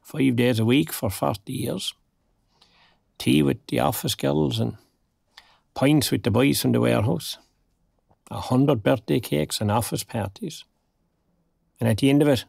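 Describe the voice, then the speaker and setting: Creaky-voiced older Irish retired man. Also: mid-range voice, a little mellow, no room sound, heavily acted. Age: 60s. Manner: dry, wistful, understated. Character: lonely, wry, recently retired older man. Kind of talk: naturalistic audio drama acting.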